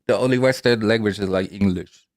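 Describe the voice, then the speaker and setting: Man from Europe, low voice, blunt teasing, dry close sound, casual chat. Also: a little fast, darkish tone, no echo. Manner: blunt, teasing, hesitant when searching for words.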